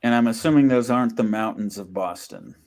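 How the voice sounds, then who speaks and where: A man's voice: lowish voice, a little fast, darkish tone. A man speaking casually as a co-host on an interview panel.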